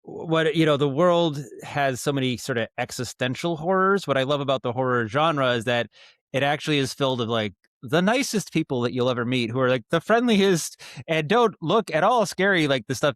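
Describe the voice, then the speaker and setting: A man's voice: medium pitch, fairly quick, fairly bright tone, no room sound. Rambling, thoughtful American man, dry close sound. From Maine, United States. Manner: rambling, thoughtful, repeats words as he thinks. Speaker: podcast guest, audio drama writer and director. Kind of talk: casual interview chat.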